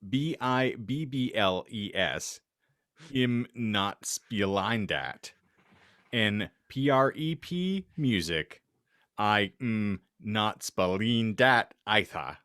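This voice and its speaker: A man with a fairly low voice hosts a comedy podcast. His delivery is slow and wry but swoops expressively, as he banters and reads stories aloud in a mock-serious way. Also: animated, a bit bright, no echo, clean studio sound. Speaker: podcast host. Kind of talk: casual banter and mock-serious reading aloud of fan fiction.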